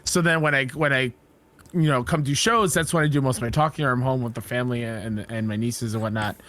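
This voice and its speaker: A man co-hosting a casual podcast, easygoing and agreeable, his voice slightly hoarse after losing it. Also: medium pitch, fairly even intonation, quick pace, fairly bright tone. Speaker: podcast co-host.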